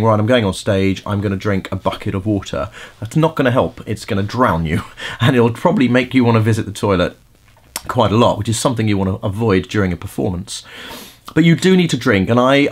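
A middle-aged man hosting a podcast, giving casual vocal tips in a chatty way with many fillers and restarts. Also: lowish voice. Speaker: podcast host and vocal coach.

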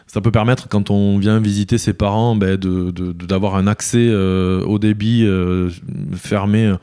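A man answering interview questions conversationally as a local mayor, halting and repeating words as he explains. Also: fairly low pitch, little pitch movement, warm tone, smooth. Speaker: interview guest, village mayor.

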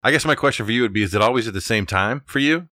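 A man's voice: mid-range voice, expressive, very fast, sharp tone, no echo, clean studio sound. A man co-hosting a casual podcast conversation as an architect. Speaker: podcast co-host.

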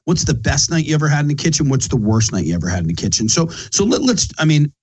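A man's voice: on the high side, big pitch swings, fast talker, darkish tone, slightly rough. A man from Philadelphia with a Philly accent hosting a casual interview, rambling and emphatic, restarting his sentences often. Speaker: podcast host.

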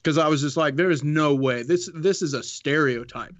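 A man's voice: fairly high pitch, moderate pace, dry recording. A man from the American South with a Southern accent, co-hosting a podcast and rambling casually with many restarts. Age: adult with a child. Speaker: podcast co-host. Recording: dry, slightly muffled audio.

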